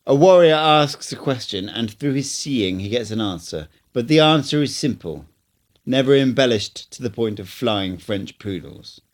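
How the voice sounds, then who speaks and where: A man's voice: mid-range voice, expressive, normal speed, close to an everyday voice. A man reading an audiobook, voicing a blunt, scolding old male sorcerer teacher. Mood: stern. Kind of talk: audiobook character voice.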